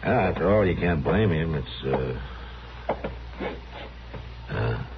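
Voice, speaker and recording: An actor playing a calm, firm, laconic frontier marshal in an old-time radio western. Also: male voice, a bass voice, vocal fry, full character voice. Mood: concerned. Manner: laconic, unhurried. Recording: muffled, noisy old radio recording.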